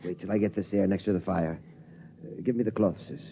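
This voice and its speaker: An actor playing a steady, patient father in a 1950s radio drama. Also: low voice, fairly quick, creaky, slight background noise. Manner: calm, patient, reassuring. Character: calm, steady father of a family. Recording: muffled 1950s radio broadcast.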